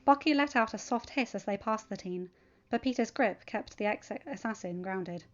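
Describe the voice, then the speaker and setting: A woman's voice: medium pitch, moderate pace, muffled recording. A woman narrating fan fiction and chatting casually to listeners as the host, recorded in a room at home.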